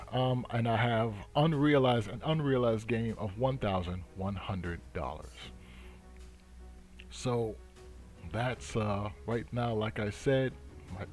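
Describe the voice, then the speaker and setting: Slow, low-voiced man over music. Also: darkish tone. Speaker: host of a trading channel. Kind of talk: unscripted market commentary.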